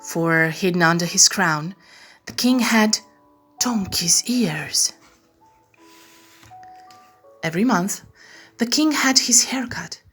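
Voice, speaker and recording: A woman reads a scripted bedtime story as narrator. Her delivery is unhurried and expressive, with a soft husky edge, and music plays underneath. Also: on the low side.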